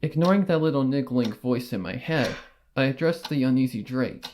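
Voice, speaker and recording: A reader narrating a fan story in a steady, even storytelling manner. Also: mid-range voice, moderate pace, slight background noise. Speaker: fanfic reader.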